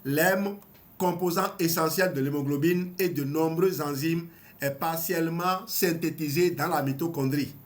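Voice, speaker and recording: Host, man, 50s: somewhat high voice, reads from a book then comments loosely with restarts. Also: unhurried. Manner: hesitant, with restarts and small laughs. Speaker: health channel host. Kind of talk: reading a book aloud with commentary.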